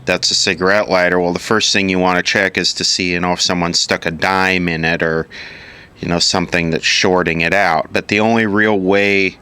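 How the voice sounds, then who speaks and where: A man's voice: low-pitched, little pitch movement, normal speed, pushed and bright, smooth. A man giving an informal hands-on repair tutorial, matter-of-fact and thinking aloud piece by piece as an instructor.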